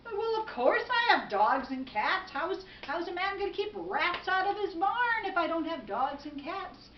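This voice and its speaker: A woman voicing an exasperated man in a folktale, pleading loudly with drawn-out, wailing complaints. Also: extremely high pitch, very animated, voice pushed up, full character voice. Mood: indignant. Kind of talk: oral folktale storytelling. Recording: distant mic, echoey room, dull, faint noise.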